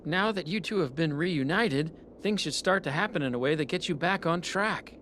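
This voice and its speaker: A woman's voice: low-pitched, lively intonation, normal speed, fairly bright tone, a little hiss or hum. A woman voicing an earnest, hesitant young helper in an anime dub, sincere and a little tentative. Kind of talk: anime English dub acting.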